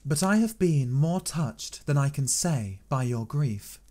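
A man reading a Victorian novel aloud, voicing a young woman who pleads earnestly and gravely to help in a terrible struggle. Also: medium pitch, expressive, measured pace, darkish tone. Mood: earnest pleading.

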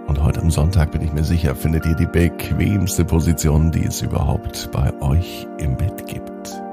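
A man's voice: extremely low pitch, fairly even intonation, normal speed, creaky, music in the background. A man hosting a children's podcast and narrating a scripted bedtime story, speaking expressively and directly to young children.

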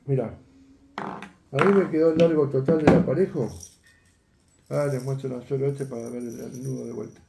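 A man's voice: mid-range voice, fairly even intonation, normal speed, gravelly, long pauses, warm tone, roomy sound, slight background noise. An older man, teaching a fishing rig setup in a chatty tutorial and often asking his viewers "¿eh?" and "¿ves?". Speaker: fishing instructor. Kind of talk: hands-on tutorial demonstration. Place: indoor workroom at a table.

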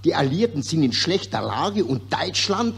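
An actor playing a lecturing, know-it-all patriot who parrots propaganda in a 1940s radio satire. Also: male voice, fairly high pitch, sing-song, moderate pace, gravelly, slightly rough, full character voice. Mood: smug, emphatic. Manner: assertive, lecturing. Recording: noisy old 1940s radio recording, hum.

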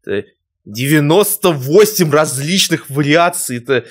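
A man's voice: on the high side, animated, measured pace. A young man giving casual reaction commentary in an emotional, exclamatory way. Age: young adult. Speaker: reaction video host. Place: furnished room at home, indoors.

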